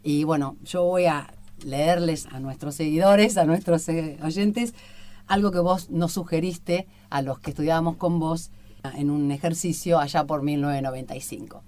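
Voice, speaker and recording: A woman's voice: low voice, moderate pace, warm tone. Middle-aged argentine lady hosting a radio interview show, talks conversationally. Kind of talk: conversational interviewing and reading aloud.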